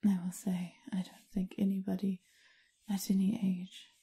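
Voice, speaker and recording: A woman playing a doting, teasing, refined vampire mistress in an intimate ASMR roleplay, whispery and husky. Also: mid-range voice, monotone, normal speed, dark tone. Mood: wry, confiding.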